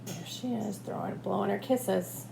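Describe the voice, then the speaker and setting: A woman reading a storybook aloud like a teacher, with expressive, deliberate phrasing for children. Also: medium pitch, gravelly. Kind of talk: storybook read-aloud narration. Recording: echoey room, faint background noise.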